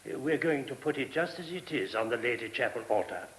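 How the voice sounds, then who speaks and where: A man's voice: medium pitch, lively intonation, a bit bright, lightly acted, low-quality recording. Man playing a courteous monk, faint hiss. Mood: thoughtful. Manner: measured, polite, hesitant, explanatory. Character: courteous, thoughtful male monk of an abbey, a priest. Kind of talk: radio play acting. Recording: hissy old radio recording.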